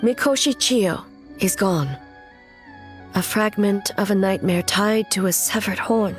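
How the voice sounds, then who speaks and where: A woman playing a solemn, resolute warrior ruler in a video game, speaking in a measured, formal, grave way. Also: mid-range voice, faint music behind, lightly acted. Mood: firm, resolute. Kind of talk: video game cutscene acting.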